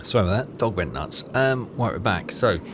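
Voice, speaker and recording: A man's voice: fairly low pitch, animated, fairly quick, noisy background. A man hosting a game playthrough, thinking aloud in casual commentary.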